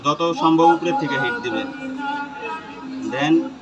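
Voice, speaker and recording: Higher-voiced Bangladeshi man giving commands over music. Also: unhurried, highs cut off. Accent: Bangladeshi colloquial Bengali. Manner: directive, short repeated commands. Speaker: mobile repair trainer. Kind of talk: hands-on instruction to a student.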